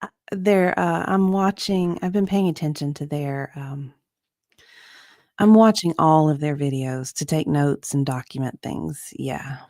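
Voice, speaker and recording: A woman's voice: deep voice, measured pace, a little mellow, dry recording, studio quality. A woman chatting casually and reading witness answers as a guest, with an easygoing manner. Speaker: guest reader.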